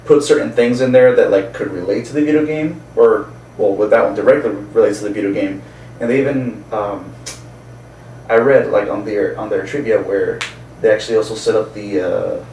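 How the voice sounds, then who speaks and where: A man's voice: fairly low pitch, moderate pace, darkish tone. A man co-hosting a casual chat, rambling a little and searching for words as he explains his opinion. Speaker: podcast co-host. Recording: roomy, distant mic, background noise.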